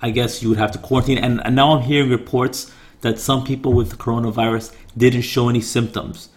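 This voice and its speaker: A man with a raspy voice chatting casually as co-host, thinking aloud with fillers and questions. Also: mid-range voice, steady tone, a little fast. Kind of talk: casual chat. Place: home in Japan.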